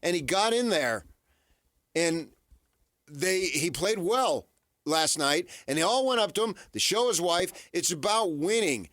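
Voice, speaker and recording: A man with a high voice and swooping, lively intonation argues sports as a radio host. He is insistent and drives each point home by repeating it. The studio sound is dry and close. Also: unhurried, no echo. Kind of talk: unscripted sports debate.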